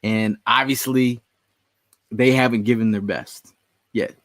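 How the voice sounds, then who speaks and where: A man's voice: mid-range voice, steady tone, unhurried, no echo. A man co-hosting a sports podcast, talking in a laid-back, rambling way. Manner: laid-back, rambling, says "you know" often. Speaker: podcast co-host. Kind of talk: casual sports chat.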